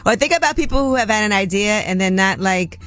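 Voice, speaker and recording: An upbeat woman hosts a radio show from a studio, her voice sitting at an ordinary middle pitch. She teases her co-host and her guests. A faint music bed plays beneath her. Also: lively intonation, quick pace, a bit bright. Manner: upbeat, teasing, laughs easily. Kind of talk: casual morning radio banter.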